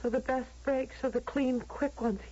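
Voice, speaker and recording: An actress playing an anguished young violinist in an old-time radio drama, breathless, hesitant and dramatic. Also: female voice, high-pitched, steady tone, normal speed, mellow, husky. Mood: distraught. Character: anguished young woman violinist torn between dream and reality. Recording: muffled old radio broadcast recording.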